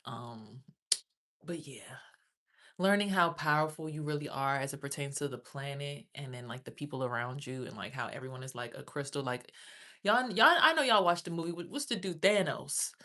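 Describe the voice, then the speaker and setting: A woman's voice: deep voice, lively intonation, normal speed, pushed and bright. A young woman, chatting loosely on a livestream, rambling about spiritual topics and swearing freely. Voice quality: raspy. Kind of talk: casual livestream chat.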